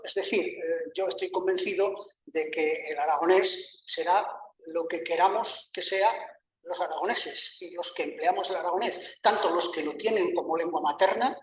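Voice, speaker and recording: A man's voice: sits high, fairly bright tone, a little hiss or hum. An older man, a university professor, answering a question on a panel in a thoughtful, hesitant way with many fillers and restarts. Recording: echoey room, mic at a distance.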